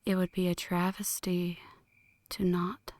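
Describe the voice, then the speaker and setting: A woman playing a flirty, brooding female vampire in an ASMR roleplay, speaking in hushed, breathy whispers with a teasing, poetic delivery. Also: on the low side, little pitch movement, a little slow, no room sound, natural voice. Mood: teasing, seductive. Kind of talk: ASMR roleplay, scripted dramatic monologue.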